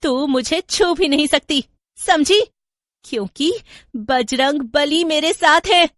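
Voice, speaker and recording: Woman playing an urgent high-pitched young daughter-in-law. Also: slow pace, fairly bright tone, no echo, clean studio sound. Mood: defiant. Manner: urgent, dramatic. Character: worried but defiant young daughter-in-law.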